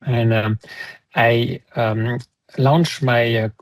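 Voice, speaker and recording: A man from France with a French accent, introducing himself on a panel as a consultant, halting and full of fillers. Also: mid-range voice, little pitch movement, dry recording. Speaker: sustainability consultant.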